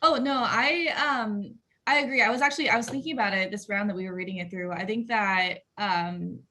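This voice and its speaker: Woman, medium pitch, halting and hesitant, casual discussion, video call. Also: sharp tone. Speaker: table read participant giving feedback.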